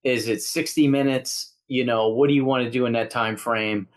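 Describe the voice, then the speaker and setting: Joking fifty-something podcast host, tosses in quick one-liners. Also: male voice, mid-range voice, steady tone. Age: 50s. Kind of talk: casual chat.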